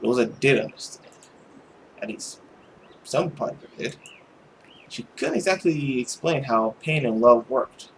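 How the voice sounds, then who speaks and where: An expressive amateur narrator reads a visual novel aloud, slipping into casual asides. The pitch moves around a lot, and there is faint noise on the recording. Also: a little slow, gravelly, pauses often, low-quality recording. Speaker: let's player reading a visual novel aloud.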